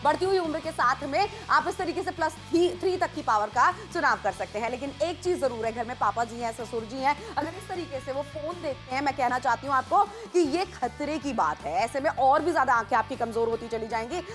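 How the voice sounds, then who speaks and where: A woman's voice: sits high, a little fast, hardly any pauses, a bit bright, soft background music. A woman co-presenting on a home shopping channel, emphatic and eager as she sells. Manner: eager, emphatic selling with exclamations. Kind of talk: live TV sales pitch. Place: TV shopping studio.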